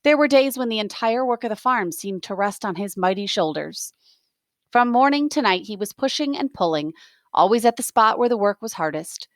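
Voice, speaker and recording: A woman reading a novel aloud as a teacher, expressive, with plain explanatory asides for students. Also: medium pitch, normal speed, no echo, studio quality.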